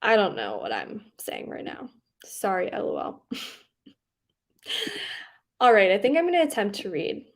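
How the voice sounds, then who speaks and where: A young woman with a faint Southern accent rambles easily through a casual livestream, full of likes, ums and quick giggles. Her voice sits at an ordinary mid pitch. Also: wildly swooping pitch. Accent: slight Southern US on some words. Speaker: booktube livestream host. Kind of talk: casual chat reading live comments.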